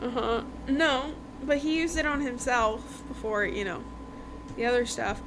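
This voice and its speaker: A woman's voice: fairly high pitch, fairly even intonation, a little slow. A woman host recapping a book in a casual, rambling, informal chat. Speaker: podcast host reviewing romance books. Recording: noticeable background noise.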